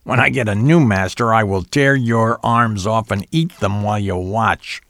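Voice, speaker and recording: A man's voice: lowish voice, normal speed, fairly bright tone, no echo, voice pushed up. A man voicing a sly, childish demon with a wheedling, mock-innocent manner in a storybook narration. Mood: menacing. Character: childish sly demon, falsely sweet, man-eating and menacing.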